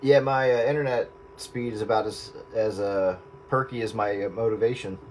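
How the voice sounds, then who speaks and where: A man's voice: lowish voice, steady tone, normal speed. A man chatting casually over the radio, hesitant and wry, often searching for words. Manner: hesitant, self-deprecating, wry. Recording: radio audio with clear static.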